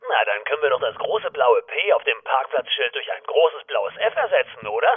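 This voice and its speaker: A man's voice: mid-range voice, sing-song, normal speed, breathy edge. A man playing a chatty, mischievous office prankster in a comedy audio drama, heard over a tinny voicemail line. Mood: breezy. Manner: chatty, casually nonchalant.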